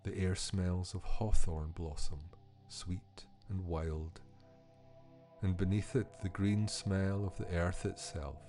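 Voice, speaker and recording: A man with a deep, mellow voice narrates a guided meditation at a very slow pace. He is soothing and measured, leaving pauses between phrases. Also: steady tone, soft background music. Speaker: podcast host and meditation guide.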